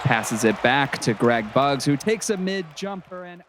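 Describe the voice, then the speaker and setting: A man's voice: mid-range voice, animated, a little slow, lightly acted. A man playing an earnest small-town radio play-by-play announcer in a comedy sports broadcast. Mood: focused, excited. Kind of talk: sports commentary in audio comedy. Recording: crowd and gym noise behind. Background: crowd, bouncing basketball.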